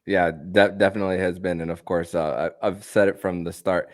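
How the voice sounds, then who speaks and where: A man hosting a casual sports podcast, speaking haltingly and stammering over repeated words. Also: low-pitched, fairly even intonation, dry recording.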